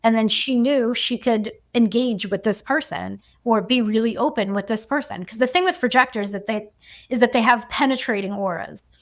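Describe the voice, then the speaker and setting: A woman human design coach talking as a podcast guest, chatty and relaxed, and she often says "like.". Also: fairly high pitch, moderate pace. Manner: chatty, fills her speech with "like". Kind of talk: casual podcast conversation.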